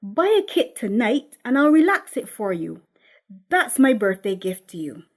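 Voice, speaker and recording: A woman's voice: fairly high pitch, sing-song, unhurried, exaggerated voice. A woman voicing a brash, teasing mother of sixty in a dramatic script reading, nagging and colloquial.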